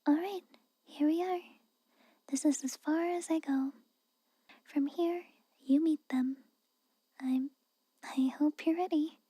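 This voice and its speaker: A woman playing a guarded, haughty but secretly caring castle maid in a whispered ASMR roleplay, halting and grudging, close to the microphone. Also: high voice, fairly even intonation, no room sound. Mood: aloof, reluctant concern. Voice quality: soft, breathy whisper. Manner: hesitant, halting, grudging, cautioning.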